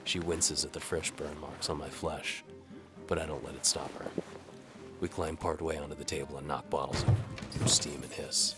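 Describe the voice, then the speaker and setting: Guy acting as jaded hard-boiled merc, super low voice, noir narration, faint music behind. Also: flat delivery, normal speed. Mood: dry, wry. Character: world-weary, sardonic hard-boiled bow for hire. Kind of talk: noir first-person audio drama narration.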